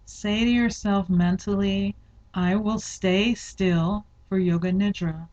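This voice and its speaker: Female meditation guide, mid-pitched voice, very unhurried and deliberate delivery, slightly muffled lo-fi sound. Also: steady tone, darkish tone. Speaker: yoga nidra meditation guide. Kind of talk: guided meditation, slow instructional narration.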